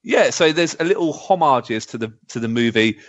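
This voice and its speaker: A middle-aged man hosting a casual podcast, chatty and excitable. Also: medium pitch, normal speed. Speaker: podcast host. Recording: slightly dull, lo-fi audio.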